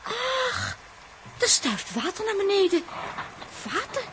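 Jittery spacewoman, high voice, animated intonation, Netherlands Dutch accent, excitable, vintage radio serial. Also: takes it slowly, in a large hall, full character voice. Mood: excited, panicked. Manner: anxious, excitable, exclaiming. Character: jittery, imaginative young female space explorer. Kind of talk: 1960s Dutch radio play acting. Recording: noisy vintage 1960s radio recording with clicks.

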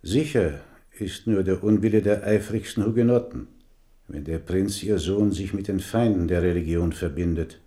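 Actor as a deep, dark-voiced grave admiral. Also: male voice, lightly acted. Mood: grave, cautious. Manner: deliberate, sober. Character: grave, cautious Protestant admiral. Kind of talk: German radio play acting.

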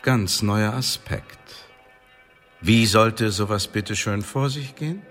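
An actor playing a stern Elizabethan tribunal official in a German radio drama, formal and officious with a needling edge. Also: male voice, on the low side, slow, a bit bright, soft background music. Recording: long reverberant hall.

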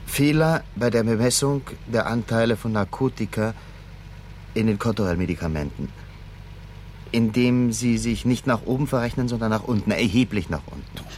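Man playing a low-voiced, calculating executive. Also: a little mellow, a little hiss or hum, lightly acted. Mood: conspiratorial. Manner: measured, persuasive, probing. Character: smooth, calculating male company vice president, tempter. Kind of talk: 1970s German radio crime drama acting.

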